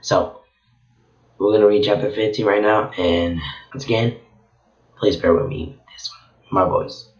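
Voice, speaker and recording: A man from Venezuela, hoarse from losing his voice, reading a story chapter aloud in a casual, halting way with frequent slips. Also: fairly low pitch, steady tone. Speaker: channel host reading a story. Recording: echoey room, dull lo-fi sound.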